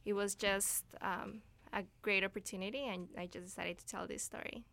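A woman's voice: medium pitch, steady tone, moderate pace, creaky, pushed and bright. A woman in her twenties from Ecuador giving casual, hesitant interview answers as a guest. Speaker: interview guest, student filmmaker. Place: radio studio.

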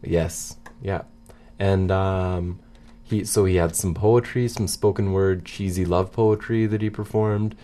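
A man co-hosting a radio show, chatting casually about music in a slightly halting way. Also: low voice, fairly even intonation, warm tone. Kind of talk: casual music chat between songs.

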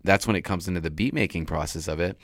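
A man with a deep voice, a rapper talking loosely in an interview with many restarts. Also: quick pace, fairly bright tone, dry recording, studio quality. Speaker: rapper guest. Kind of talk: casual interview talk.

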